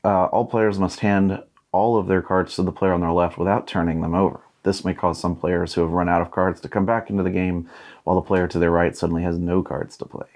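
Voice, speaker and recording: A man's voice: low voice, flat delivery, brisk, darkish tone. A man in his thirties giving laid-back, casual unboxing commentary as a host, musing aloud and reading out bits as he goes. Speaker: board game unboxing host.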